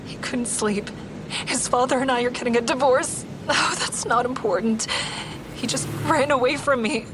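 Woman acting panicky pleading mom in an audio drama, highish voice, clear traffic noise behind her. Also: moderate pace, close to an everyday voice. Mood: frantic, pleading. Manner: urgent, pleading. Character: frantic mother feigning distress over a missing son. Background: vehicles, outdoor ambience.

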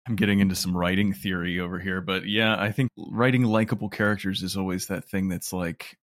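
Deep-voiced man, very dry sound. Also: fairly even intonation, brisk, fairly bright tone, no echo. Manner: explanatory, conversational. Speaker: audio drama producer, interview guest. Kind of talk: casual interview chat.

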